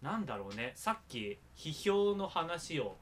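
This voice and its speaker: A man with a bright, forward voice hosts a casual podcast chat. His pitch moves around in a lively way, and he talks about himself in a self-deprecating manner. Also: a little slow. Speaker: podcast host.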